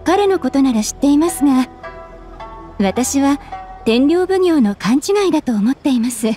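An actress playing a calm, polite, composed young townswoman in a video game. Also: high-pitched, normal speed, faint music behind.

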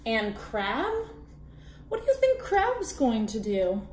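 A woman in her twenties reading a children's picture book aloud expressively, in a deliberate, animated way with questions for young listeners. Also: fairly high pitch. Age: 20s. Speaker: read-aloud storyteller for children. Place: small indoor room at a table. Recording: echoey room, background noise with clicks.

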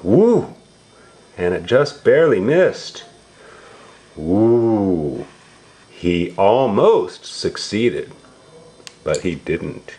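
A man reading a picture book aloud to young children, explaining it in simple, repeated, drawn-out words. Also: on the low side, big pitch swings, dark tone. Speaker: storybook reader for young relatives.

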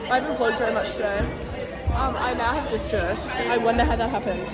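A woman's voice: a little high, fairly quick. A young woman talking casually as a vlogger into a phone held at arm's length. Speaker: vlogger in a pantomime cast. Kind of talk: casual vlog chat. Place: busy rehearsal studio hall, sometimes outdoors. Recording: phone recording with loud music and chatter.

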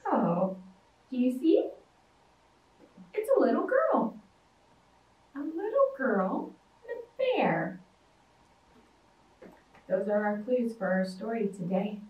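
Animated sing-song storytime woman. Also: fairly high pitch, slow, long pauses, distant mic. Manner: animated, sing-song, acts out shouts and roars. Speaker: children's librarian. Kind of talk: storytime read-aloud for young children. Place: library storytime room with toys. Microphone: far camera. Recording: echoey room, voice from afar.

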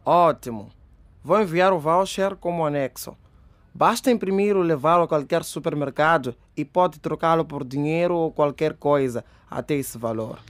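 A man plays a smooth con man in a radio drama, posing as customer service. His voice is a bit high and dry, with polite sales manners. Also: normal speed, no echo, natural voice. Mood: courteous, smooth.

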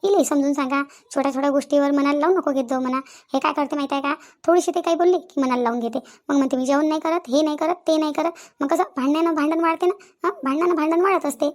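A woman's voice: high voice, steady tone, fast, darkish tone, natural voice. A woman playing insistent, talkative village women in a comedy cartoon, with a rural Vidarbha Maharashtrian accent and a habit of repeating phrases. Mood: insistent, reasoning. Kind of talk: Marathi comedy cartoon dialogue.

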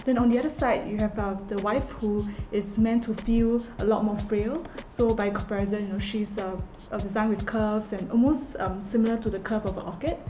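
Young singaporean lady explaining animation stuff casually, medium pitch, music in background. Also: steady tone, fairly quick, few pauses, dark tone, echoey room. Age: young adult. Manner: informal, frequent "like". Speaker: co-writer and assistant director. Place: indoor room.

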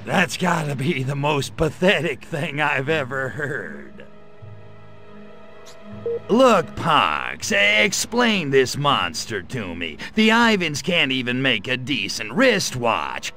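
A man's voice: medium pitch, big pitch swings, slow, sharp tone, faint music behind, full character voice. A man voicing a small, wisecracking grey alien invader in a video game, brash and sardonic, with an American accent. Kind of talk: video game cutscene acting.

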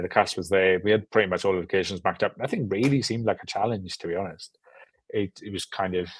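Low-voiced young man chatting. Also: husky, dry recording. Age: young adult. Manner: easygoing, informal. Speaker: film director, interview guest. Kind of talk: casual interview chat. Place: indoor room at home, on a video call.

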